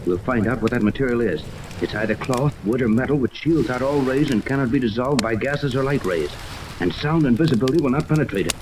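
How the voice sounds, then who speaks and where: An actor playing a decisive adventurer captain in 1930s radio serial style, urgent and commanding. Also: male voice, mid-range voice, fast, lightly acted. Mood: urgent, hushed scheming. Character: decisive, confident adventurer sea captain, adult man. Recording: noisy vintage 1930s radio recording.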